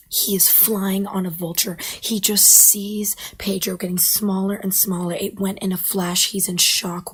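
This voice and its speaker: A woman telling a playful, dramatic story in a breathy whisper. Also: mid-range voice.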